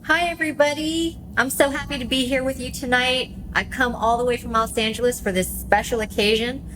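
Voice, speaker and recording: A woman's voice: a little high, fairly even intonation, fairly quick, noisy background, natural voice. A woman playing a gushing, catty influencer guest in a radio play, showy and provocative. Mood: gushing, sly. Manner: showy, self-promoting, provocative. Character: gushing, catty female Los Angeles influencer guest celebrity.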